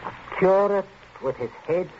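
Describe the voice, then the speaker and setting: A man's voice: high-pitched, lively intonation, a little mellow. An actor playing a controlling middle-aged father, outwardly jovial and coldly menacing, in 1940s radio drama style. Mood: calculating. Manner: deliberate, chuckling, stammering when feigning cheer. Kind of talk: 1940s radio thriller acting. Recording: muffled, hissy 1940s radio broadcast.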